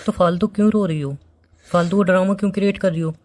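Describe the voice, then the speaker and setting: A man's voice: sits high, fairly even intonation, fast, darkish tone, natural voice. A man playing a blunt, dismissive young boyfriend who is breaking up in a staged phone-call drama. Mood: irritated, blunt. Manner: blunt, repetitive, impatient.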